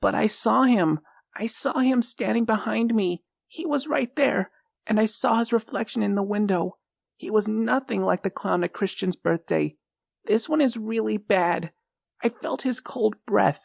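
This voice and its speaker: A man's voice: high voice, unhurried, vocal fry, dry recording, clean studio sound, voice pushed up, exaggerated voice. A man voicing a frightened little boy who pleads tearfully in a bedtime horror story. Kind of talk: storybook reading.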